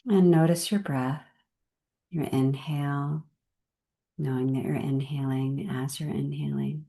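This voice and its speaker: A woman leading a guided meditation in a gentle, unhurried way, with measured phrases and long pauses. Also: sits low, fairly even intonation.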